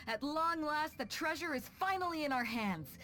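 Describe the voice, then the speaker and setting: A woman's voice: high voice, expressive, a little slow, music in the background. An actress playing a bold, confident female pirate captain in a video game, breezy and self-assured, casual yet commanding. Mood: pleased.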